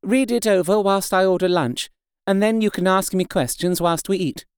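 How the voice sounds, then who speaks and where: A man voicing a demure young married woman in an audiobook, speaking modestly, gently and politely. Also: high voice, moderate pace, clear, resonant tone, dry recording, studio quality, close to an everyday voice.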